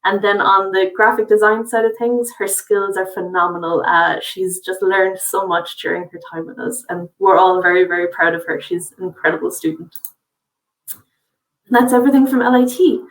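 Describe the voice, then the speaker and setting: A woman's voice: medium pitch, steady tone, normal speed, roomy sound, far from the mic, rough recording. A woman from a college's international office giving a friendly, informative webinar presentation, with frequent fillers.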